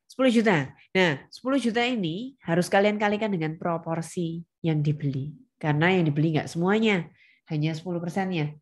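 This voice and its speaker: A woman gives a lesson in a slightly low voice. She is didactic and leans on the important words. She often turns to her students to check that they understand. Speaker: accounting lecturer. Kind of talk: spoken lesson with questions to students.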